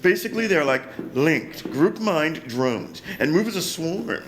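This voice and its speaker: A man's voice: fairly high pitch, sing-song, unhurried. An actor playing a proud, showy male scientist in an audio drama, precise and theatrical. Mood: explaining. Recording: noisy ambience behind.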